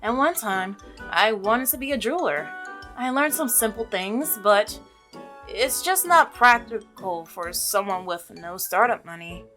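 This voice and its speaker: A woman's voice: mid-range voice, expressive, measured pace, a bit bright, soft background music. Woman voicing an earnest, devoted milkman. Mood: wistful, practical. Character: earnest, devoted married milkman, practical working man. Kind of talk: visual novel character reading.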